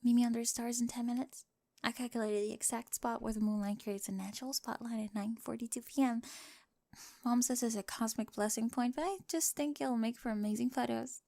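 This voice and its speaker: A woman's voice: on the high side, fairly quick, gravelly. A woman playing a quirky, data-obsessed young bride in a scripted ASMR roleplay, speaking technical phrases with earnest, adoring precision. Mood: loving, earnest. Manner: precise, earnest recital of technical wording with giggly excitement.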